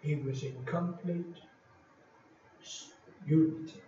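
Slow-preaching older man in echoey hall. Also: fairly high pitch, fairly even intonation, warm tone, a little hiss or hum. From England. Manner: slow, deliberate, emphatic preaching with many pauses. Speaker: retired pastor. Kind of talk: sermon. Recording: echoey hall from far off, dull lo-fi.

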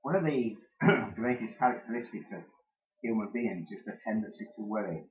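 A man preaching a sermon, hoarse and losing his voice, clearing his throat often. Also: on the low side, normal speed. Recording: muffled phone-quality recording.

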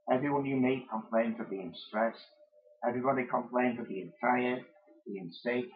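Hoarse preacher on a tinny line. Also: male voice, medium pitch. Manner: clears his throat often. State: hoarse, losing his voice. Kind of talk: sermon. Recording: muffled phone-quality recording.

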